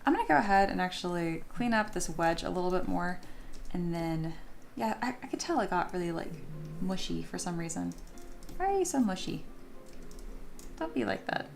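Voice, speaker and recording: A woman's voice: fairly low pitch, expressive, moderate pace, gravelly, pauses often, soft background music. A woman with a slightly scratchy, sleepy voice, chatting informally and thinking aloud as she teaches a livestream tutorial. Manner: informal, chatty, talks to herself and viewers. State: sleepy, voice not yet warmed up. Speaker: 3D sculpting teacher and livestream host.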